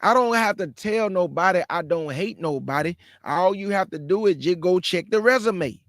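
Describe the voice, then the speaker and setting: Male host with a high voice and dry sound, scolds in slang while reacting to clips. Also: expressive, moderate pace, fairly bright tone, no room sound. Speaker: show host. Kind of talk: reaction commentary.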